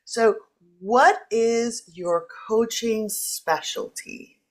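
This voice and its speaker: A woman whose first language is Spanish, chatting casually and playfully as a leadership coach. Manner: lively, playful, quick jumps in pitch. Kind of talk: casual chat.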